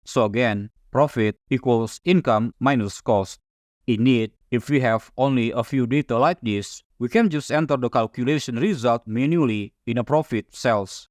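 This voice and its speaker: A man whose first language is Indonesian narrates a spreadsheet tutorial in a calm, methodical voice. His pitch sits in a typical middle range for a man. The recording is close and dry, with no room sound. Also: normal speed, no room sound. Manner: calm, methodical step-by-step explaining. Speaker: software tutorial instructor.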